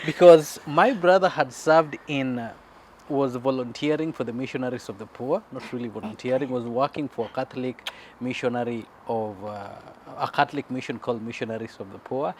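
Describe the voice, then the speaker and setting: A man's voice: mid-range voice, a little fast, darkish tone, a little hiss or hum. A man with a Kenyan accent chatting casually outdoors as he co-hosts a family garden vlog.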